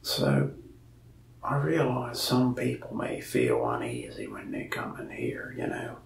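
A young man plays a rambling, folksy mask shop salesman, speaking softly and often slipping into a whisper. His voice is very gravelly and rises and falls a lot. The room sounds echoey, with faint hiss behind him. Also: extremely low pitch, huge pitch swings, slow, recorded from a distance. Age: young adult. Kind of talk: soft-spoken ASMR roleplay. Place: indoor room before a wall of masks.

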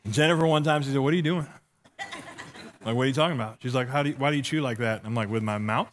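A man's voice: mid-range voice, quick pace, noisy background. A middle-aged man with a lisp, preaching on a church stage and telling self-deprecating stories with acted-out dialogue. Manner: walks and gestures while preaching. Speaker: pastor. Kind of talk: humorous sermon.

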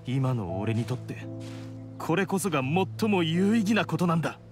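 An embittered oni outcast from a fantasy game. He speaks with scornful, deliberate weight in a slightly high male voice that swings widely in pitch. Music plays behind him. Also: very animated. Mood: grim, resolved. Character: embittered male blue oni outcast, fallen proud warrior. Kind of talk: dramatic game voice acting.

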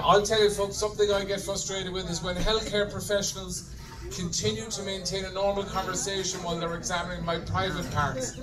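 A middle-aged man with an Irish accent doing a stand-up comedy routine in a deadpan manner, swearing freely and talking straight to the audience. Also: high voice. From Ireland. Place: club stage in front of a laughing audience. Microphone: handheld mic, filmed from the audience. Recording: distant room recording with crowd laughter.

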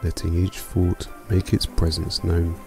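A man narrating a scripted guided meditation for sleep in a calm, soothing, unhurried manner. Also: low-pitched, creaky. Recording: music bed behind voice. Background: music.

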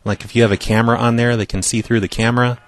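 A man's voice: medium pitch, flat delivery, very fast. A man who hosts a prank call show, talking in a casual, informal, needling way with some hesitation. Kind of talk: casual prank calling and chat.

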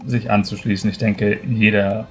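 A man's voice: on the low side, level tone, moderate pace, faint music behind. A man speaking improvised dialogue as a player in a tabletop role-playing game, recorded with a slightly dull sound.

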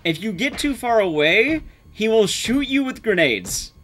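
High-voiced young man with animated delivery. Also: measured pace. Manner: self-deprecating, swears casually. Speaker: speedrun streamer. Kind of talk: casual live game commentary. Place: small indoor streaming room. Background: game music.

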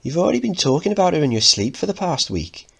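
A man's voice: a little high, big pitch swings, fast, vocal fry, fairly bright tone, husky, voice pushed up, exaggerated voice. Man acting a brash, teasing working-class mum. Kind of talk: dramatic play reading.

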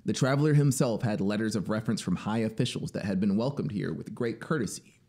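A man's voice: on the low side, quick pace, mellow. A man in his thirties reading literary fiction aloud as a dramatic narrator, close to a studio microphone. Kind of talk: dramatic audiobook-style story narration. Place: small home music studio indoors. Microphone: close studio mic on boom arm.

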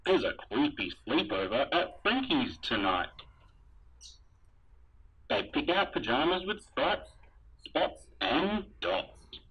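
This is a man acting as a helmeted sci-fi trooper reading rhymes to children from a storybook. He is slow and very animated, with a sing-song delivery. A radio-like helmet filter leaves the voice husky and dull. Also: a little high, exaggerated voice. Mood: animated storytelling. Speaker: storybook reader. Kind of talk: read-aloud children's story, rhyming. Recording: dull, muffled radio-like sound.

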